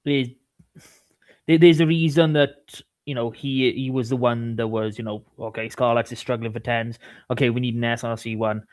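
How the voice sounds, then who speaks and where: A man co-hosting a sports podcast, talking casually about rugby in a halting way, often repeating words. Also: mid-range voice, fairly even intonation, a little mellow, no room sound.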